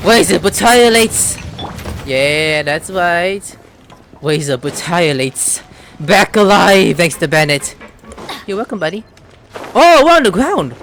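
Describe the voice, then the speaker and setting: A woman's voice: lowish voice, a little hiss or hum. A woman giving casual let's-play commentary, reacting aloud and talking to the game characters. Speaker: gameplay streamer. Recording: game music behind the voice.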